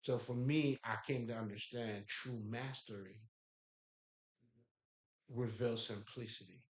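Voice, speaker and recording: Man, Haitian accent, mid pitch, emphatic and profane, laughs a lot, echoey room. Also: fairly even intonation, slow pace. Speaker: spiritual speaker. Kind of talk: casual spiritual talk.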